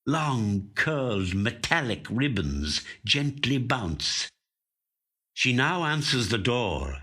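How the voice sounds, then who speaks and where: A man reading a literary short story as a trained actor, with measured, deliberate, dramatic phrasing into a studio microphone. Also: lowish voice, bright, forceful tone. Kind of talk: scripted literary narration.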